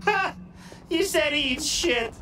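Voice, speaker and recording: A man's voice: extremely high pitch, animated, slow pace, sharp tone, a little hiss or hum, exaggerated voice. An actor playing a wisecracking, cocky masked male hacker, with playful, theatrical delivery in game cutscene banter. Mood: gleeful, cheeky. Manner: playful, theatrical, mock-dramatic, sarcastic.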